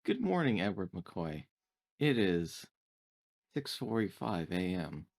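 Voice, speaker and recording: A man's voice: deep voice, very animated, slow pace, vocal fry, exaggerated voice. A female android attendant played by a man in a sci-fi roleplaying game. The speech is formal and stilted, with careful, precise wording and a politely literal temper. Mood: courteous, formal.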